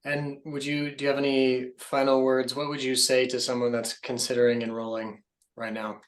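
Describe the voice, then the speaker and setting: A man's voice: medium pitch, level tone, normal speed. Friendly male host asking questions. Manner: friendly, brief affirmations. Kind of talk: interview questions on video call. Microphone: video call.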